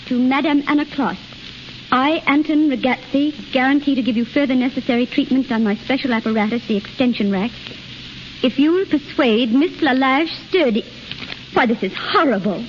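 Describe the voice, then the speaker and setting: Through a muffled vintage broadcast comes a woman acting a proud, guarded society daughter. Her voice is fairly high, refined and dramatic, as in a 1930s radio play. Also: moderate pace, a little hiss or hum, close to an everyday voice. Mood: appalled. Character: proud, wealthy, lame doctor's daughter, guarded.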